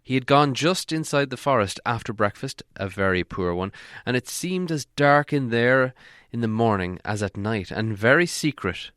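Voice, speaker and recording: A man's voice: mid-range voice, expressive, moderate pace, a bit bright, no room sound, clean studio sound. A man reading a storybook aloud as an audiobook narrator, in scripted narration. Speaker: audiobook reader.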